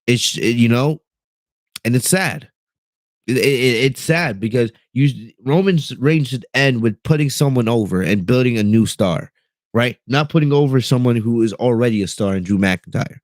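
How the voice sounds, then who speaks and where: A young man from the United States hosts a casual livestream from his bedroom, rambling through his points with constant fillers and restarts. His voice sits at a middle pitch. The mic is close and dry, with almost no room sound. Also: animated, moderate pace, no echo. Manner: reads and answers live chat. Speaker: wrestling podcast host. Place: bedroom at home.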